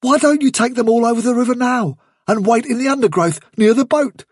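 A man's voice: extremely high pitch, brisk, husky, no echo, clean studio sound, voice pushed up. A man voicing a clever male rabbit adviser in an audiobook, earnest, insistent and carefully reasoning. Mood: earnest urging.

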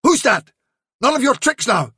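A man voicing a gullible storybook guard dog, bristling and easily awed, speaking in excitable exclamations. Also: extremely high pitch, normal speed, husky, no room sound, studio quality, pitched above the performer's own voice, full character voice. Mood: suspicious. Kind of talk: dramatic storybook reading.

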